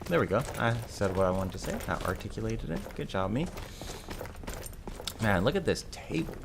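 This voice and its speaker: A man with a slightly raspy voice giving casual live game commentary as a streamer, rambling and correcting himself as he thinks aloud. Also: on the low side, big pitch swings, moderate pace, noisy background.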